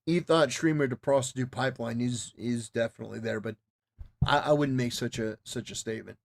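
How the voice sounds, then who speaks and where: A man's voice: mid-range voice, a little fast, vocal fry. Emphatic man giving opinionated commentary. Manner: emphatic, repetitive, stop-start phrasing. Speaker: livestreamer reacting to a clip.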